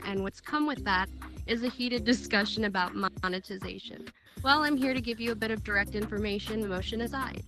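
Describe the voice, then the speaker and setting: A woman giving a direct, earnest talk as a speaker at a virtual event. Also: medium pitch. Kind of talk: prepared speech at a virtual event.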